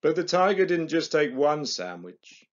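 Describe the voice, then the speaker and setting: Slow, expressive man reading a picture book. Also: on the high side, highs cut off. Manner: dramatic, deliberate pauses. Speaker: storyteller on an early years channel.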